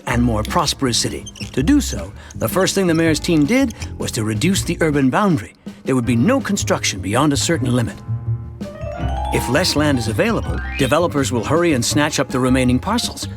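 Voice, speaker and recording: A man playing a chatty, earnest middle-aged suburban dad who narrates an animated short in a conversational, expressive storytelling way over music. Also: fairly high pitch. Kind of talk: scripted first-person narration for an animated educational short.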